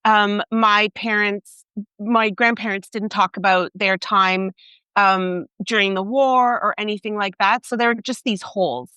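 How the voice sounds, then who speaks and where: A woman's voice: medium pitch, fairly even intonation, measured pace, sharp tone, clean tone, dry recording. A middle-aged woman, an author interviewed as a guest, speaking in a thoughtful, conversational way.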